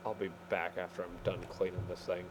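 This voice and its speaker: A man casually telling rambling stories while he plays a game, halting and easily distracted. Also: sits low, level tone. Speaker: gamer telling stories over gameplay. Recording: clear background vehicle engine noise. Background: game vehicle engine sounds.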